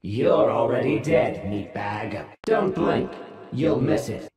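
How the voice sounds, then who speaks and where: A man voicing a cold, contemptuous robotic killer in a video game, deliberate, with heavy echo. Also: medium pitch, expressive, creaky, darkish tone. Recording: heavy echo, music behind.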